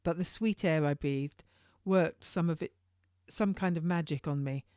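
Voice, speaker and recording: Low-pitched woman narrating, dry sound. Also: a little slow, darkish tone, clean tone, dry recording. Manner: informal read-aloud with occasional stumbles. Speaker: author reading own novel. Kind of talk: first-person historical audiobook narration.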